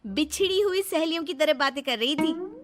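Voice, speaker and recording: Woman acting a bright, high-voiced chatty cartoon woman. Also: expressive, moderate pace. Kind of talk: Hindi cartoon story acting.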